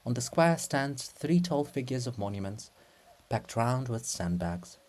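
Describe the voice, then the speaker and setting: A man narrating stage directions for a dramatic play reading in a measured, theatrical manner. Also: mid-range voice, very animated, darkish tone.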